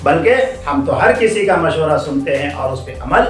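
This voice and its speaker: A man's voice: on the high side, expressive, normal speed, reverberant, distant mic, soft background music, lightly acted. A man voicing an agreeable monkey chief who leads his troop, emphatic and questioning in a children's story. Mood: eager, gracious.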